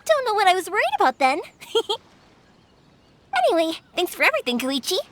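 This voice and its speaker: A woman's voice: very high-pitched, wildly swooping pitch, a little fast, a bit bright, exaggerated voice. A woman voicing a cheerful, chatty young fireworks maker in a video game, breezy and casual in her storytelling. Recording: music behind the voice.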